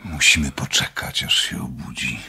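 An actor in a Polish radio play voicing a calm, methodical doctor, husky and measured, with a clinical manner. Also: male voice, a bass voice, a little hiss or hum, heavily acted. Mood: soothing, probing. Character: calm, methodical male doctor leading an experiment.

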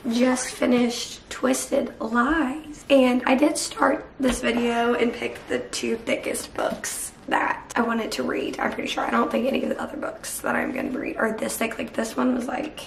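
A young woman with a high voice, audibly hoarse and losing it to a cold, chats to camera in a casual, upbeat way full of fillers. The sound is roomy, her voice bouncing around a small bedroom with a faint hiss underneath. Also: animated, unhurried, few pauses. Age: young adult. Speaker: book vlogger. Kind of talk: casual vlog chat to camera.

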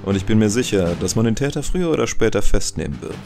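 An actor playing a suave, menacing older Italian crime boss in a German audio drama, smooth and condescending. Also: male voice, lowish voice, expressive, quick pace, faint music behind. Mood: smooth, evasive. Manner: smooth, condescending, quietly threatening.

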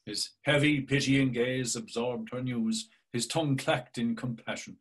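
An older man narrating a novel in a measured, deliberate way over a video call. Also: mid-range voice, steady tone. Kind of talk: scripted literary narration. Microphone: webcam on a video call.

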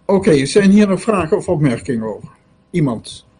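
An older man moderating a question session on a video call, putting brisk technical questions. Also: fairly high pitch, big pitch swings, dark tone, breathy edge. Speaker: meetup moderator. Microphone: webcam on video call.

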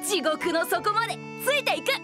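A woman's voice: very high voice, lively intonation, normal speed. A woman voicing a tiny, hot-tempered and self-important boy fairy in an anime, brash and indignant. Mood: stubborn, proud. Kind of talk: exaggerated Japanese anime voice acting. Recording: background music under the dialogue.

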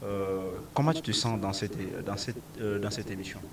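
A young Congolese-accented man hosting a sports interview, halting and repetitive in his phrasing, on a handheld mic outdoors. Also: fairly low pitch, recorded from a distance. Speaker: sports show host. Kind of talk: broadcast interview. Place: open-air football pitch. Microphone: handheld microphone.

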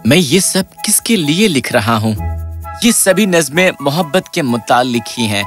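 A man voicing an earnest, excitable, lovelorn young poet in a cartoon fairy tale, with dramatic delivery. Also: on the high side. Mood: despondent. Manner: dramatic, pleading, repeats himself. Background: music.

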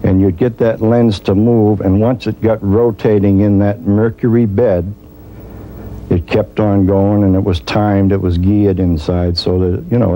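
A man's voice: lowish voice, dark tone, slight background noise, rough recording. A man in his sixties reminiscing in an interview, recorded on a lapel mic indoors. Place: small furnished room indoors.

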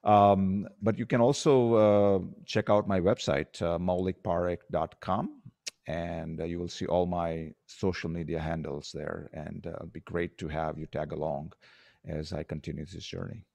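Deep-voiced man with an Indian accent. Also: little pitch movement, moderate pace, creaky, darkish tone, dry recording. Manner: explanatory, lists points. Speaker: guest author. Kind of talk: podcast interview.

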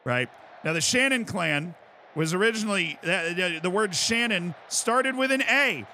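An American man chatting on a live stream as he plays, with a fairly high voice that has a bright, sharp edge. He explains in long, animated tangents and keeps tossing in "right?" to the chat. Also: a little slow, slight background noise. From United States. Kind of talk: casual chat while playing.

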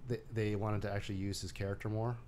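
The guy on a movie podcast who haltingly and wryly walks his co-host through a film's story. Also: fairly low pitch, little pitch movement, darkish tone, slight background noise. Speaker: co-host of a movie discussion show. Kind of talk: casual chat, recapping a film.

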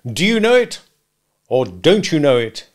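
A man voicing a bullying, sarcastic gentleman who cross-examines like a lawyer in a storybook reading. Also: a little high, expressive, unhurried, gravelly, voice pushed up. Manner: interrogative, sarcastic, emphatic, domineering. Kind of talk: dramatic audiobook character reading.

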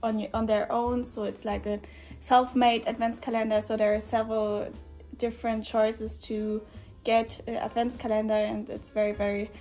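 Young German woman, soft mellow voice. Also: on the high side, moderate pace, soft background music. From northern Germany. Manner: relaxed, many fillers like "like" and "yeah". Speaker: guest volunteer. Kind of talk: casual chat on camera. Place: indoor room with shelves.

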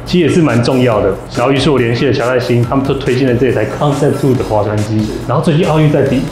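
A man's voice: mid-range voice, fast talker. A man, hosting a casual equipment review in a small office gym and asking easygoing, curious questions. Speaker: vlog host. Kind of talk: casual chat. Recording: roomy room with background music.

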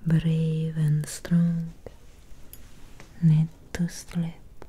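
A young woman playing a soothing, gentle Victorian vampire doctor in a breathy ASMR whisper. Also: sits low, completely flat delivery, heavily acted. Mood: soothing, hypnotic. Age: young adult. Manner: slow, soothing, lingering pauses. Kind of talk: ASMR roleplay. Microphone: close ASMR microphone.

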